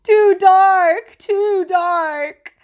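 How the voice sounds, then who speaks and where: A woman's voice: very high-pitched, very slow, voice pushed up, full character voice. A woman voicing an upset, whining little boy in a read-aloud children's storybook. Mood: frightened. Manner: whining, hollering. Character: upset little boy crying and screaming at a party.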